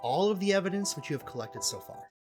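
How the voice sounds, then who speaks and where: A theatrical, self-important cult expert played by an actor holds forth in an audio drama. The delivery is pompous and full of deliberate pauses, with pitch that swings widely. Music plays clearly underneath. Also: animated, a little mellow, natural voice. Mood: businesslike, self-assured.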